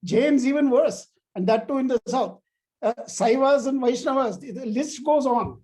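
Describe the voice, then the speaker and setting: A man's voice: very high voice, normal speed, dark tone. An older man speaking as a guest lecturer, answering a question in a discursive, emphatic academic manner and illustrating points with anecdotes.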